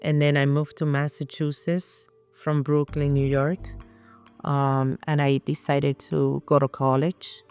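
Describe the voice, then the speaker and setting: Slow, gravelly Puerto Rican woman. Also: low voice, flat delivery, a little mellow, faint music behind. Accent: Puerto Rican Spanish. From Puerto Rico. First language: Spanish. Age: middle-aged. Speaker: interview guest. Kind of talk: personal life story.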